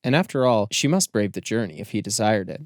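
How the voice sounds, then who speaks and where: A man reading scripted literary narration as an audiobook narrator, with measured, deliberate phrasing. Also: lowish voice, expressive, no room sound, studio quality.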